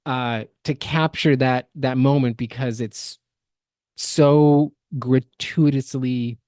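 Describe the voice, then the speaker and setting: A woman's voice: extremely low pitch, fairly even intonation, slow pace, warm tone, dry recording, studio quality. A woman co-hosting a podcast, giving opinionated, mocking reaction commentary in a casual style. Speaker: podcast co-host.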